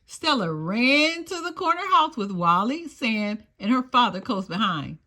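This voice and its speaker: A woman narrating a children's storybook aloud in an expressive read-aloud style. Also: a little high, a little slow.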